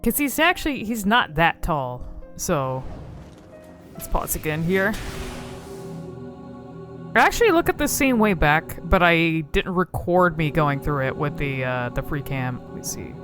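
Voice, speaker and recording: A woman's voice: low voice, big pitch swings, unhurried, music in the background. A woman giving casual game commentary, rambling and thinking aloud as she explores cutscenes.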